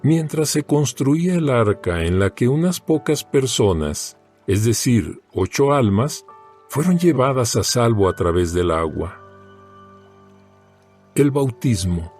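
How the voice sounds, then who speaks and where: A man giving a solemn, measured, dramatized reading of scripture as an audio Bible narrator. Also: medium pitch, big pitch swings, darkish tone. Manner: solemn, measured, deliberate phrasing. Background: background music.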